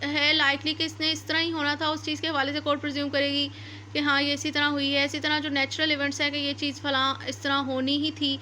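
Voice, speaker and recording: High, bright-voiced Pakistani woman lecturing. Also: monotone, a little fast, slight background noise. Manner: didactic, repeats and rephrases points. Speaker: law lecturer. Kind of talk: explanatory lecture, reading out statutes and paraphrasing them.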